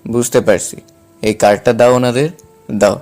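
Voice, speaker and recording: A man's voice: medium pitch, steady tone, normal speed, darkish tone, soft background music, close to an everyday voice. A man playing a confident, defiant young romantic hero in an audio drama. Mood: calm, casual. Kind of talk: dramatised audio story dialogue.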